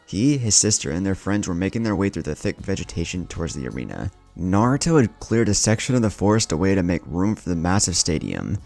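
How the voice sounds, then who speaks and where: A man's voice: sits low, very animated, brisk, vocal fry, hardly any pauses, darkish tone. A man narrating a scripted fan fiction story as a channel storyteller, with music playing behind him. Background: background music.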